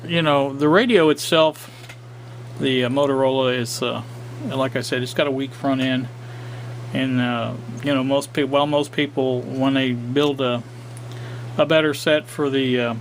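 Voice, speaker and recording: A man's voice: mid-range voice, fairly even intonation, a little slow, a little hiss or hum, rough recording. A man hosting a casual workshop vlog on old radios, talking in a rambling way with many fillers and restarts. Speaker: radio hobbyist channel host.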